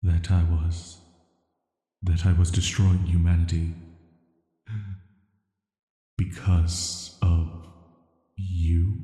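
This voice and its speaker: A man playing a banished, cursed villain in a sleep-aid audio drama, soft and hushed, with a flat, hesitant, measured delivery in atmospheric reverb. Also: low voice. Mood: probing, uneasy. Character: banished cursed male villain, soft, flirty, secretly dangerous dream visitor. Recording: echoing, long reverb.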